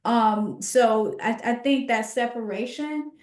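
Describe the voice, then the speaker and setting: A woman with a higher-than-average voice speaks in a roomy, echoing space. She talks like a scholar co-hosting a podcast, reflective and conversational. Her delivery is emphatic and halting, with words repeated to drive points home. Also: darkish tone.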